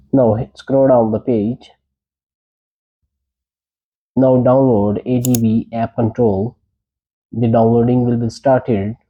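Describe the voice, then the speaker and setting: A man's voice: medium pitch, mellow, low-quality recording. A man presenting a tech tutorial, giving short, plain step-by-step instructions.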